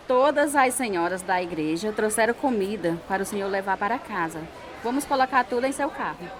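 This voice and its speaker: A woman's voice: mid-range voice, lively intonation, brisk, crowd noise, natural voice. A woman playing a helpful, respectful church lady in a radio drama.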